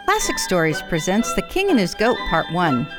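Lively low-pitched woman radio announcer. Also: moderate pace, music in the background. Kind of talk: scripted radio drama introduction.

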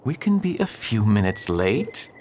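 An actor playing an earnest, troubled married preacher in a radio drama, formal and restrained in manner. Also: male voice, fairly low pitch, big pitch swings, measured pace, a little mellow. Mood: amorous. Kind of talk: period stage-style radio drama acting. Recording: noisy, rain-like background hiss.

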